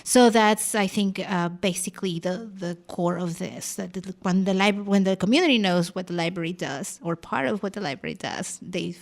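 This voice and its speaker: Gravelly-edged woman with a Costa Rican accent. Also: medium pitch, normal speed, few pauses. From Costa Rica. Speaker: librarian, interview guest. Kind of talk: conversational radio interview.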